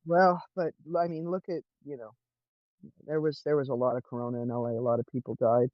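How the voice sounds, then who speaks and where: A man from California with an American accent, chatting casually in a halting, hesitant way. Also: mid-range voice, flat delivery, dry recording, muffled recording. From California, United States. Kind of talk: casual chat.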